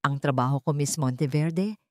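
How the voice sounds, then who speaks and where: A woman with a Filipino accent voicing a calm, serious, emotionless male doctor in a narrated story, measured and direct. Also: low voice, no room sound, clean studio sound, close to an everyday voice. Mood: grave, measured.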